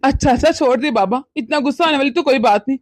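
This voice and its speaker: A mild, bewildered young husband and devoted son in a domestic melodrama, played by a woman. The voice is high, with flustered questions and repeated words. It is recorded very dry. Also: quick pace, no room sound, lightly acted. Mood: puzzled, coaxing. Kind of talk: melodramatic domestic story acting.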